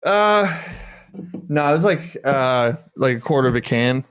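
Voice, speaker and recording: A man's voice: mid-range voice, expressive, measured pace, a bit bright, clear, resonant tone. A young man co-hosting a podcast, talking casually in an easygoing, reassuring and apologetic manner. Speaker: podcast co-host. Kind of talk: casual chat.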